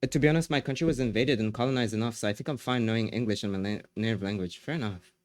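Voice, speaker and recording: Low-voiced man chatting with his stream. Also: racing, dry recording, clean studio sound. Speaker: streamer reading chat. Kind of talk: casual live-stream chat.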